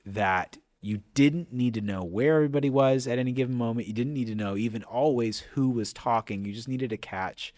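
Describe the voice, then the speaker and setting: A man's voice: mid-range voice, a little fast, hardly any pauses, a little mellow, no room sound, clean studio sound. An American man talking reflectively in an interview as a writer and director. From central Illinois, USA. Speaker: audio drama writer and director.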